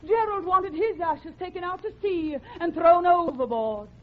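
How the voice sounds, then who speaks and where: High-voiced theatrical murderous housewife. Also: female voice, normal speed. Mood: brisk, matter-of-fact. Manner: theatrical, overwrought. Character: melodramatic housewife murderess, breezy then distraught. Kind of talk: 1940s radio comedy acting. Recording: dull 1940s radio recording, audience, hiss.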